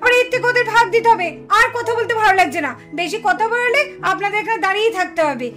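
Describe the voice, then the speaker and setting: A woman's voice: extremely high pitch, quick pace, pushed and bright. A woman playing a stubborn, teasing young woman in a puppet show, with insistent, emphatic delivery. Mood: bossy. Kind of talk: animated puppet-show dialogue. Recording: music behind the voice. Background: music.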